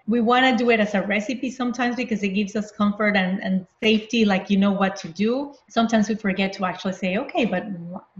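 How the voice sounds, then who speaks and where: A woman pediatrician from Venezuela, in her forties, calmly explaining baby care in an interview. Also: medium pitch. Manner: calm, explanatory, plain speaking. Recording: roomy, slightly dull sound.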